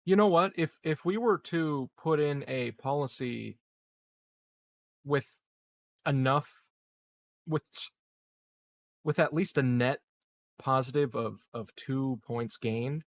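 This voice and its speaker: A man playing a tabletop game, casually proposing strategy in measured, somewhat hesitant phrases. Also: mid-range voice, no echo. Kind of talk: casual game strategy discussion, half in role.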